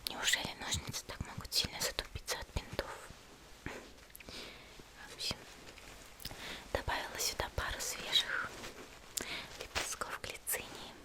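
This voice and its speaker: A woman speaks entirely in a soft, unvoiced whisper. She plays a caring young healer in an ASMR roleplay, addressing the listener directly. Her delivery is gentle, soothing and reassuring. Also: a little slow, pauses often. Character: gentle caring young female demon-slayer healer tending a patient.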